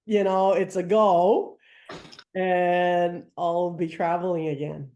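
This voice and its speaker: A woman guest in a casual group chat, speaking haltingly with many fillers. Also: lowish voice, little pitch movement, dark tone, dull audio.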